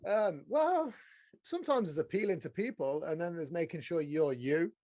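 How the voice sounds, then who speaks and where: A man hosting a podcast as a casual, blokey, excitable chat with plenty of swearing. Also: a little high, moderate pace, no room sound. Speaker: podcast host. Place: small studio room.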